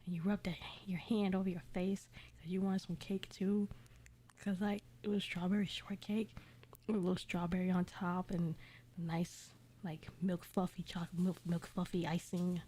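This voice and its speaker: A woman streamer reading fan fiction aloud casually, giggly and self-censoring, often dropping into a breathy near-whisper. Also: lowish voice, little pitch movement, moderate pace, dark tone, slight background noise.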